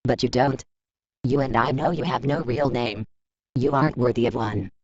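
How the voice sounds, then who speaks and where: An actor playing a cold, condescending villain who taunts a rival with deliberate menace in audio drama. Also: male voice, mid-range voice, a little mellow, no echo, close to an everyday voice. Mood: scornful. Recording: dull, muffled close dry recording.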